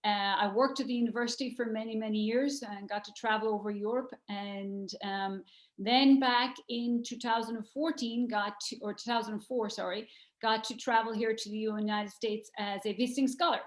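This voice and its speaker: A woman's voice: a little high, fairly even intonation, normal speed. A middle-aged Irish woman from County Cork, a guest in an interview, talking in a friendly, chatty way with frequent fillers. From Ireland. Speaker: guest, university center director. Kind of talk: interview conversation. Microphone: video call.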